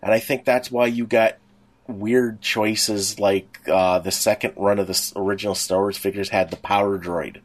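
Slightly low-voiced man thinking aloud on a podcast. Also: fairly even intonation, normal speed. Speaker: podcast co-host. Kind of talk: casual explanatory chat.

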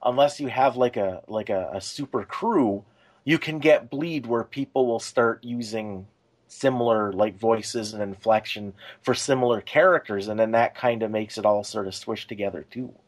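A man's voice: lowish voice, moderate pace. A man co-hosting a podcast, talking casually and explaining his thoughts in a conversational way. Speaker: podcast co-host.

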